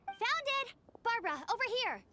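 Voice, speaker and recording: Excitable young girl, piercingly high, bright tone, earnest and flustered, game dialogue. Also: normal speed, soft background music, exaggerated voice. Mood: excited. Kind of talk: video game voice acting.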